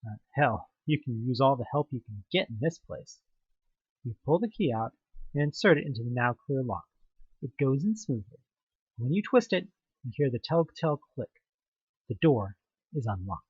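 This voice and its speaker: A man's voice: mid-range voice, big pitch swings, normal speed, husky, no room sound, muffled recording. A young man reading a second-person horror audioplay aloud, building suspense and now and then tripping over a word. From Houston, United States. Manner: tense, suspenseful storytelling with occasional slips. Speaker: narrator.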